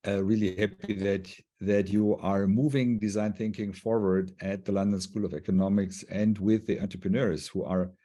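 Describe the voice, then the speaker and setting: A man moderating an online session over a video call, friendly and a little halting. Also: on the low side, dark tone.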